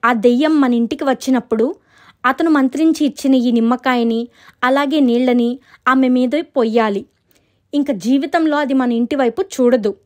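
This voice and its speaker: A woman's voice: high voice, normal speed, voice pushed up, lightly acted. A woman voicing a worried but resolute elder sister in a story, firm and concerned.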